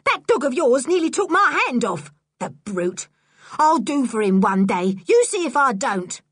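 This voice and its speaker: A woman voicing a bad-tempered, spiteful housekeeper who snaps and scolds in rough, ungrammatical speech. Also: sits high, very animated, measured pace, dry recording, clean studio sound, pitched above the performer's own voice, full character voice. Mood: howling, spiteful. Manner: yelling, snappish, ungrammatical working-class speech.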